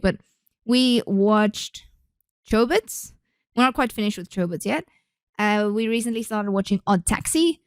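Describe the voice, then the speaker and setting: A woman's voice: fairly high pitch, no echo. Chatty woman, dry close sound. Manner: chatty, rambling, with frequent restarts. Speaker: podcast host. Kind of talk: casual solo podcast chat.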